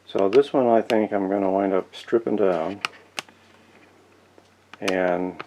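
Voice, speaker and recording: A man with a low voice and a gravelly creak talks through hands-on repair work in a casual, folksy way. He thinks aloud as he goes, unscripted, with faint noise behind him. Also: slow pace, warm tone. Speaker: host of a vintage radio repair channel. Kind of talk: casual unscripted hands-on narration while working. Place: indoor workshop.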